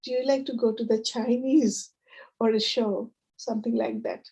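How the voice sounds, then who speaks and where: A woman poet with a Bengali accent gives a reading in a rather high, soft-edged and mellow voice. She speaks in short, measured phrases, moving between verse and plain introductions for her listeners. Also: fairly even intonation, gravelly. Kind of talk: poetry reading with spoken introductions to an audience.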